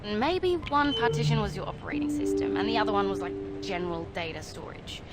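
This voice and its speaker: A woman voicing a composed, caring young spacecraft engineer in a game, speaking in a measured, naturalistic way with hesitant pauses. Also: mid-range voice, expressive, loud music behind the voice, natural voice. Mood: measured explaining.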